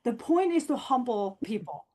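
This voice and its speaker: A young woman hosts a relaxed podcast chat. Her voice sits a little high. She talks openly and loosely, with plenty of fillers. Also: normal speed. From Norway. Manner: chatty and open, frequent fillers, light laughs. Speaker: podcast host. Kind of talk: casual podcast conversation.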